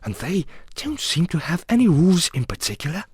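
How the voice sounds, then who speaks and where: A man's voice: on the high side, big pitch swings, brisk, a little mellow, slightly rough, dry recording, clean studio sound, pitched above the performer's own voice, full character voice. A man voicing a polite, anxious young girl in a storybook, with a prim, plaintive manner. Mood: complaining.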